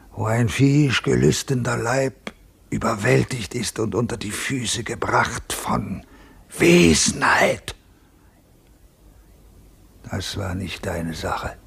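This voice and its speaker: Stern, scornful holy man, very husky and breathy, slow severe preaching, radio drama. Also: fairly bright tone, heavily acted. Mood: scornful reproach. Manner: slow, severe, preaching, often hissing low. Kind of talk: German radio play, theatrical dramatic acting.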